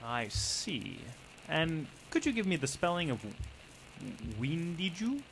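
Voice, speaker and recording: A man's voice: fairly high pitch, big pitch swings, takes it slowly, fairly bright tone, a little hiss or hum, heavily acted, rough recording. A man playing a brash, nosy 1920s newspaper reporter in theatrical old-time radio style. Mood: skeptical, curious. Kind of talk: 1940s radio acting.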